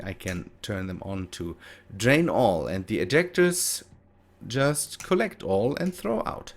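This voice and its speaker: A man with a German accent and German as his native language, giving casual gameplay commentary in a hesitant, thinking-aloud way with self-corrections. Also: lowish voice. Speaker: gaming video host.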